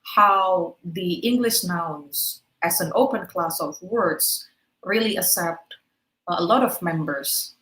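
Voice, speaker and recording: A woman's voice: lowish voice, slow, fairly bright tone. Woman lecturing in an echoey room. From Indonesia. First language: Indonesian. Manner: explanatory, checks in with "right?". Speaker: webinar speaker. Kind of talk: online lecture to teachers.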